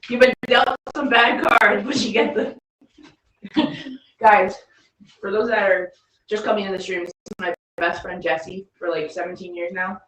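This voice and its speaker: A woman's voice: medium pitch, steady tone, a little slow, a bit bright. Young woman streamer, chatty and laughing, sounds far from the mic in an echoey room with a bit of hiss. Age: 20s. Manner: chatty, laughs easily, addresses the viewers. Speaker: livestream host. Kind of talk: casual chat with a friend. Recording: roomy, distant mic with faint background noise.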